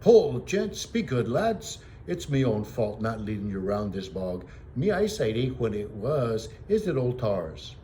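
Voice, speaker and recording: A man's voice: medium pitch, big pitch swings, unhurried, hardly any pauses, mellow, slight background noise. A man voicing a kindly, weary old coachman captain with folksy, coaxing, cheery talk, in a storybook reading.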